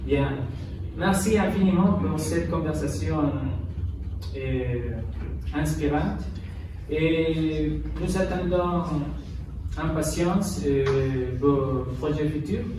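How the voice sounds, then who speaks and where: A man interviewing in a formal, halting manner, recorded from far off in a noisy, echoey room. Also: a little high. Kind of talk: hesitant formal interview sign-off.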